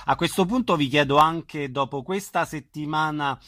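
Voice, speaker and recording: A man's voice: on the high side, normal speed, sharp tone, dry recording, studio quality. A man hosting a casual, conversational radio interview.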